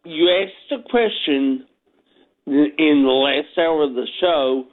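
A man's voice: on the high side, slow pace. An older man calling in to a radio show, good-humored and self-deprecating. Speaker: caller. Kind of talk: phone-in chat. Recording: tinny phone line.

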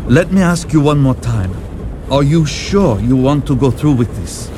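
An actor playing a grave, authoritative bishop who gives measured instructions in an audio drama, with music beneath. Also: male voice, mid-range voice, animated, noisy background, lightly acted.

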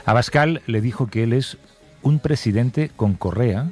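A man's voice: mid-range voice, a little mellow, slightly rough, faint music behind. A man hosting a radio show, teasing his guests in casual banter. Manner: teasing, quick. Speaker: radio host.

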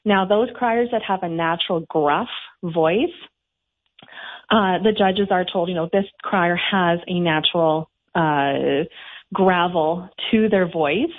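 A woman from Canada explaining things clearly in a radio interview, heard over the phone. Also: on the low side, slow pace. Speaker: town crier guild president, interview guest. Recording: tinny phone line.

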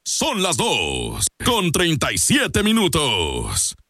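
A man's voice: on the high side, wildly swooping pitch, extremely slow, pushed and bright, no room sound, studio quality. A man giving a radio station time check and station ID. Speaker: radio announcer. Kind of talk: station ID announcement.

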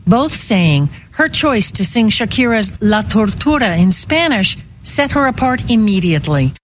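A female radio reporter narrates a scripted news feature in a crisp, clipped way. Her voice sits at a middle pitch, with a faint rumble behind it. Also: very animated, measured pace.

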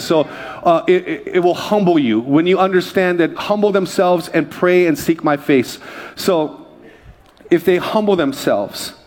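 Pastor from Hawaii, male, fiery sermon with call-outs for amen, slightly high voice. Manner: exhorting, calls for amens, swings between loud high bursts and low quiet asides.

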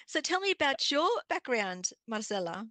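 A woman from Colombia interviewing a guest with friendly, brisk questions. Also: a little high, very animated, sharp tone, no echo.

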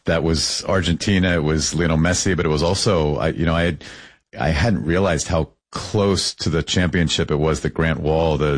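Low-voiced male interviewer. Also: no echo. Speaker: interview host. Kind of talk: scripted narration and conversational questioning.

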